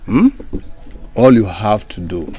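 A man's voice: fairly low pitch, slow pace, dark tone. A man playing a sly, scheming father. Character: scheming father plotting with his daughter.

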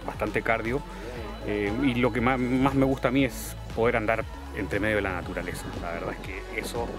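A man's voice: fairly low pitch, fairly even intonation, normal speed. A man, a local cyclist, talking casually in an outdoor interview. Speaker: interviewed local mountain biker. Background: music.

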